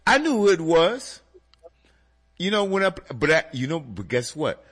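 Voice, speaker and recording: Congested guy hosting a music radio show, slow and husky, pitch all over the place. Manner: animated, addresses listeners as folks. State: congested, a little nasal. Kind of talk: casual music radio chat.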